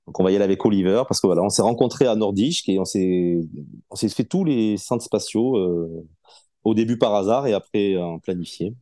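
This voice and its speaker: A man in his forties, a podcast guest chatting casually, relaxed and hesitant with many fillers and restarts. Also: on the low side, fairly quick, no echo, clean studio sound. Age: 40s. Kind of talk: casual interview chat.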